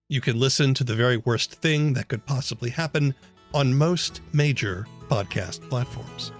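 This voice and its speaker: Brief: male host, even announcer delivery, music underneath. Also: medium pitch, no room sound. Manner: measured, announcer-like. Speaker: podcast host. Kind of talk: scripted podcast narration. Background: theme music.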